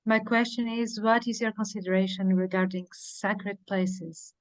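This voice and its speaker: A woman moderating a talk, reading out audience questions slowly with many pauses and fillers. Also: medium pitch, fairly even intonation, no echo, low-quality recording. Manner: slow, halting, many fillers. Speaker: session moderator.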